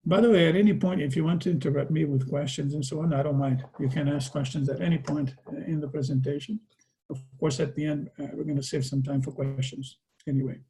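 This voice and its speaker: A man's voice: fairly high pitch, fairly even intonation, fast, dark tone, low-quality recording. A man with a Portuguese accent, a native Portuguese speaker, giving a conversational presentation over a video call and often ending his points with a tag question. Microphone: video call microphone.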